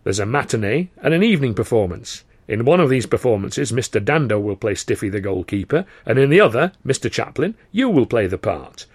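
A man's voice: mid-range voice, big pitch swings, brisk, hardly any pauses, slightly rough, no echo. A man voicing an imperious older theatre manager who is a born showman, theatrical and commanding.

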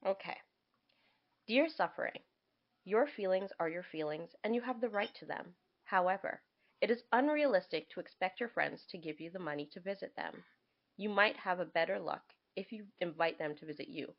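A woman podcast co-host with very lively, swinging intonation. She chats casually and reads letters aloud expressively. The sound is a little dull and lo-fi. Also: lowish voice, moderate pace. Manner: expressive, reacts to what is read.

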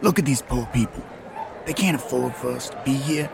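A man's voice: medium pitch, a little fast, creaky. A man playing a reluctant, conscience-stricken deacon in an audio drama, grumbling in a plain way. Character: reluctant, conscience-stricken church deacon pushed onto stage. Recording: noisy crowd outdoors.

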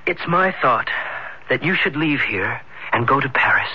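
An actor playing a practical, gently concerned friend, reasoning in a measured American radio drama style. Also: male voice, medium pitch, lively intonation, breathy edge, full character voice. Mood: reasonable, encouraging. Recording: muffled vintage radio drama recording.

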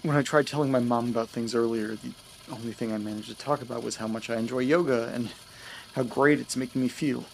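An actor playing an anxious, bewildered man in an audio drama, speaking hesitantly and stammering over car engine rumble. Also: steady tone, quick pace, vocal fry, close to an everyday voice, low-quality recording. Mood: flustered. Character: anxious, bewildered man recounting strange memory loss. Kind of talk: naturalistic audio drama acting.

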